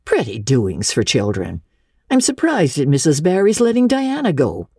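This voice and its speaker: A woman's voice: low-pitched, huge pitch swings, moderate pace, a little mellow, husky, no room sound, clean studio sound, exaggerated voice. A woman voicing a stern, no-nonsense older woman guardian in a storybook reading, curt, emphatic and sarcastic. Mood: stern, scolding. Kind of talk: dramatic audiobook reading of classic literature.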